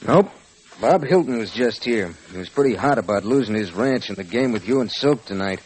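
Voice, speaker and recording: An actor playing a tough, commanding, self-assured saloon boss in an old Western radio drama. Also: male voice, lowish voice, lively intonation, brisk, darkish tone, natural voice. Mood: stern. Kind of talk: 1940s Western radio acting. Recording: noisy old radio transcription.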